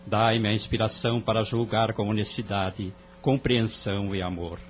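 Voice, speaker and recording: An actor playing a grave, devout judge of about fifty, formal and solemn, in an audio drama. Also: male voice, on the low side, steady tone, moderate pace, lightly acted. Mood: prayerful. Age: 50s. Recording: dull lo-fi audio with mains hum.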